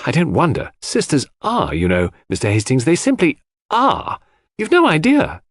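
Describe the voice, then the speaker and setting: A man voicing a lively young woman in an audiobook, breezy and playfully emphatic. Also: on the high side, normal speed, dry recording, studio quality, pitched above the performer's own voice.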